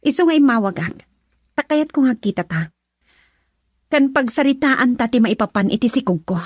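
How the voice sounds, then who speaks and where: Guarded, husky-edged woman. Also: fairly high pitch, animated, moderate pace, no echo. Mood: guarded, testy. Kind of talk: radio drama acting.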